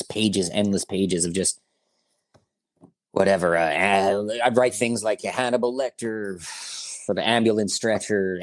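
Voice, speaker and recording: Man in his forties, very deep voice, relaxed rambling talk, dry close sound. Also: dry recording. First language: German or Italian. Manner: laid-back, rambling with fillers, says "bro" and "you know what I mean". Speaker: rapper guest. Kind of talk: casual interview chat.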